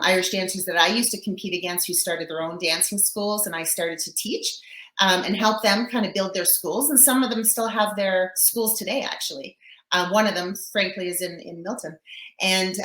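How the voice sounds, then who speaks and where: A woman's voice: mid-range voice, bright, forceful tone, roomy sound, rough recording. A woman from Canada in her forties, a guest telling her story in a chatty, upbeat interview with lots of fillers. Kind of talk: casual interview chat.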